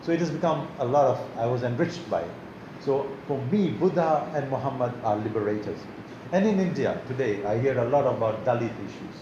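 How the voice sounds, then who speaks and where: A man's voice: medium pitch, dull audio. Older male lecturer, chatty and unscripted, roomy hall sound with noise. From Tanzania. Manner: conversational, repeats and restarts words. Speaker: guest lecturer. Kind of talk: academic lecture.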